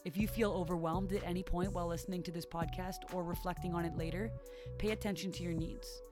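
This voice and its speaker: A woman reading a scripted podcast introduction in a slow, measured, formal way. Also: fairly low pitch, steady tone. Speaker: podcast announcer. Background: clear music.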